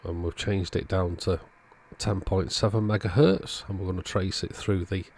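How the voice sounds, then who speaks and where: A man with a deep, gravelly voice talks through a repair at his home workbench. He thinks aloud in a calm, methodical way, and his tone has a slightly husky edge. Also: moderate pace. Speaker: electronics repair video host. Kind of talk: casual narrated repair walkthrough.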